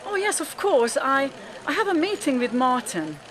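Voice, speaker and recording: A woman's voice: high-pitched, very animated, normal speed, background noise, voice pushed up. A woman playing a polite, easily flustered professional woman in a radio drama, speaking hesitantly. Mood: flustered, apologetic.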